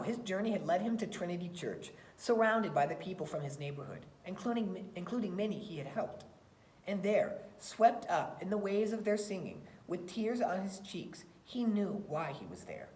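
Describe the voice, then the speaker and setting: A woman reading a children's picture book aloud in an expressive storytelling style. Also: medium pitch, normal speed, a little hiss or hum. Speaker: read-aloud reader. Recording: roomy, echoey sound.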